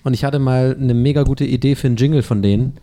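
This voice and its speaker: A man from southwest Germany co-hosting a podcast in casual, joking banter with friends, playful and quick to mimic. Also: medium pitch, fairly even intonation, dark tone. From southwest Germany (Swabia, Baden-Württemberg). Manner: playful, jokey, prone to singing and mimicry. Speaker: podcast co-host.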